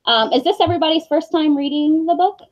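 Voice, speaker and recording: Lady hosting a casual book club chat, highish voice, muffled call w/ some hiss and clicks. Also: fast. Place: at home on a video call. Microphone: video call. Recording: dull lo-fi call, faint noise, clicks.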